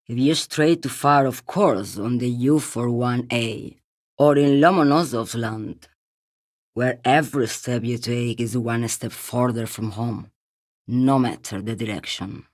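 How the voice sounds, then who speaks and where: Game role: world-weary female driver, very low androgynous voice, haunted and musing, recorded dry and close. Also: darkish tone, dry recording, full character voice. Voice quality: very deep, androgynous for a woman. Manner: slow-musing, cryptic, poetic. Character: weathered, world-weary woman long-haul driver, dreamy and haunted. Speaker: video game voice actor. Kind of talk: dramatic game character monologue.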